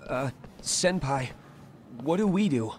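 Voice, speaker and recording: A man's voice: fairly high pitch, expressive, slow pace, a little mellow, a little hiss or hum. An actor playing a brash young male delinquent henchman in an English game dub, slangy and taunting. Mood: baffled, uneasy. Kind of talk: English video game dub cutscene acting.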